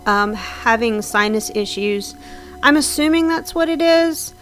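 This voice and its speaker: A woman's voice: on the high side, expressive, unhurried, fairly bright tone, faint music behind. A woman with a slightly scratchy voice from sinus trouble, chatting casually and rambling with frequent ums and restarts over her game build. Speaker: Sims builder narrating a speed build.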